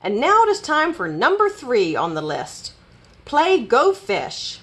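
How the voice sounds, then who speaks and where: A woman voicing a fussy, excitable male rabbit in a children's storybook, emphatic and easily flustered. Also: high voice, unhurried, pitched above the performer's own voice, full character voice. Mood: cheerful, bossy.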